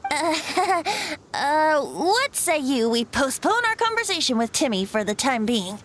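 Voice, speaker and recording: A woman's voice: high-pitched, sing-song, measured pace, fairly bright tone, slight background noise, full character voice. A woman voicing a playful young male bard with a breezy, slightly old-fashioned way of talking. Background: game music.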